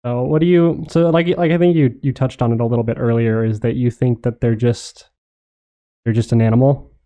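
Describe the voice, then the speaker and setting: A man's voice: mid-range voice, steady tone, fairly quick, warm tone. A man interviewing in a casual, informal and agreeable way. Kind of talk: casual chat. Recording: slightly dull, lo-fi audio.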